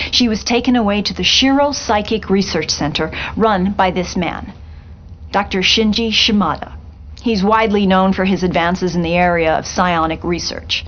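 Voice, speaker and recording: Female news reporter played by an actress, mid-pitched, measured, dramatic, grave, game cutscene, slightly dull audio. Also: a little hiss or hum, natural voice.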